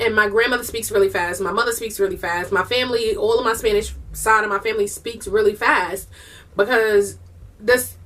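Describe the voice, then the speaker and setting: A woman chatting casually while eating, talking fast with a Southern US and Puerto Rican-tinged accent and slipping into bits of song. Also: fairly high pitch, distant mic. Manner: breaks into bursts of singing. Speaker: vlogger and mother.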